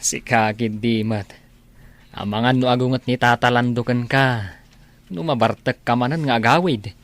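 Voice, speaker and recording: Man acting concerned advising friend, medium pitch, Ilocano accent, radio drama. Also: normal speed, fairly bright tone, lightly acted. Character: concerned male friend, gently advising his drinking buddy.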